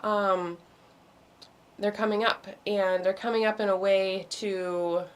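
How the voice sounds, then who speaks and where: Woman, meditation host, casual astrology talk, hoarse voice, rambling with ums, video call. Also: mid-range voice, fairly even intonation, takes it slowly. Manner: meandering, many ums and restarts. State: losing her voice.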